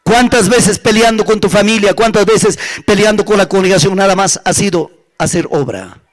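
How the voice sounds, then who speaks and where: A man's voice: sits high, normal speed, voice pushed down, natural voice. A man with an Andean Spanish accent voicing a stern, reproachful God in a sermon story, solemn and accusing, with repeated phrases. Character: stern, reproachful male God judging a servant.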